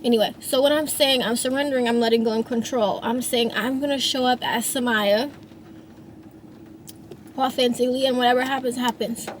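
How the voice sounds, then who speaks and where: A woman's voice: on the high side, steady tone, fairly quick, slight background noise, rough recording. A woman in her thirties, a singer and songwriter, talking through her feelings in a rambling, reflective monologue with many restarts. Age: 30s. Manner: rambling, frequent restarts and fillers.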